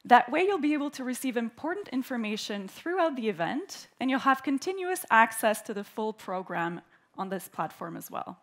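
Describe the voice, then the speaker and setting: A woman from Canada giving the formal welcome speech at a conference, clear and orderly as she reads prepared remarks into a stage microphone. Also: on the high side, big pitch swings, normal speed, a bit bright. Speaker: event host, opening speaker. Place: conference hall.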